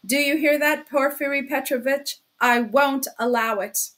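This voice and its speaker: A cornered young man in a storybook, played by a woman narrator, proud and seething. The voice is raised in pitch, forceful and distinct, full of defiance. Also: big pitch swings, normal speed, breathy edge, heavily acted. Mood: furious, defiant. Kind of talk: audiobook character acting.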